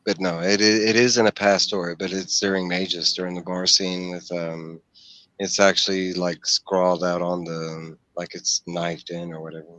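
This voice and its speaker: A man with a low voice hosts an informal book discussion. He explains as he goes and poses questions to the others. The headset sound is dry, with very little room in it. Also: little pitch movement, moderate pace, a bit bright, no echo. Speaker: host of a book discussion stream. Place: small room at home, video call. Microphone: headset microphone.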